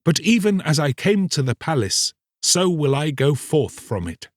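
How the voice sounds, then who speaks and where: A man's voice: on the high side, sing-song, normal speed, no room sound, studio quality, pitched above the performer's own voice. A man playing an earnest, solemn young king in a storybook tale, speaking resolutely in formal, archaic phrases. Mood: calm resolve.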